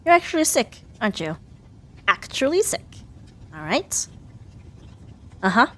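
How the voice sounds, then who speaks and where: A woman playing a sharp-tongued young female rival in an ASMR roleplay, huffy and sarcastic but grudgingly caring. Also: fairly high pitch, very animated, heavily acted. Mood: reluctantly caring. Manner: sarcastic, huffy, theatrical sighs and drawn-out jabs. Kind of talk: ASMR roleplay audio drama addressed to the listener.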